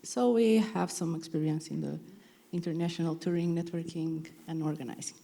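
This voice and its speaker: A woman's voice: deep voice, steady tone, a little fast, creaky, mellow. A woman from North Macedonia with a Macedonian accent, introducing herself as a panelist. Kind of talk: spoken self-introduction on a panel.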